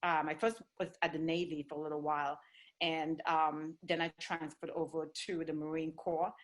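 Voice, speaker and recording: Woman from Trinidad, relaxed and chatty. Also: low voice, normal speed. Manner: easygoing, with light laughter and fillers. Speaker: guest, fitness trainer. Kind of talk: conversational interview.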